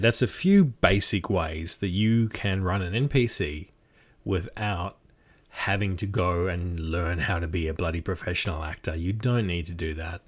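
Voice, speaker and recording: An Australian man with a fairly low voice who talks in a relaxed, conversational way. He is encouraging and pokes fun at himself as he explains game-mastering techniques. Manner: chatty, self-deprecating, encouraging. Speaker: game master giving tips. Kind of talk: casual instructional talk.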